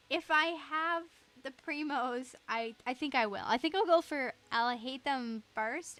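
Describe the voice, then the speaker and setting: Woman game streamer, giggly and casual, unhurried delivery, voice on the high side. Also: a bit bright. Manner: giggly, reads chat aloud. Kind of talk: casual livestream chat while playing.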